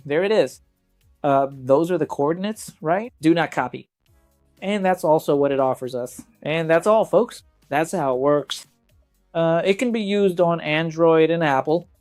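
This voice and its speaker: A man's voice: a little high, darkish tone. A man reviewing tech gadgets in a casual walkthrough, talking informally and directly to viewers.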